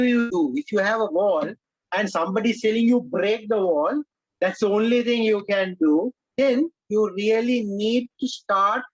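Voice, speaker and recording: A man from Sri Lanka who speaks English as a second language, leading a speech-writing workshop with animated emphasis and frequent direct address to his audience. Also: high voice, dry recording, low-quality recording. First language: Sinhala or Tamil. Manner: animated, emphatic, repeats "my dear friends". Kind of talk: online workshop lecture.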